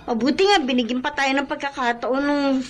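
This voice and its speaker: An actress playing a dutiful young daughter of a poor family, earnest and respectful, in a Filipino radio drama with a Filipino accent. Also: female voice, high voice, steady tone, fairly quick, a little hiss or hum, lightly acted. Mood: earnest, reassuring.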